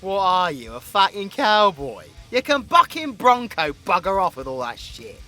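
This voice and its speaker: Man acting a swaggering British supervillain, bright and animated. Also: high-pitched, moderate pace, soft background music, exaggerated voice. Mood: mocking, menacing. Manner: mocking, crude, swaggering. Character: cocky, foul-mouthed brawling male supervillain with magic. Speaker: voice actor. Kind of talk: audio roleplay acting.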